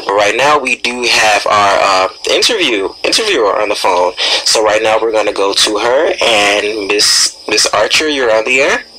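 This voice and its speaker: A man's voice: lowish voice, normal speed, few pauses, bright, forceful tone, rough recording. An American man co-hosting a talk radio show in a conversational style. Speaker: radio co-host.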